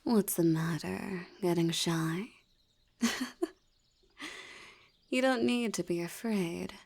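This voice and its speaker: Lady doing a sultry vampire lady, slow and whispery, kinda low and gravelly, teasing the whole time. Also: fairly even intonation, a little mellow, heavily acted. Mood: hypnotic, seductive. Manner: teasing, purring, often whispered. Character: seductive teasing female vampire captor. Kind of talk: ASMR audio roleplay.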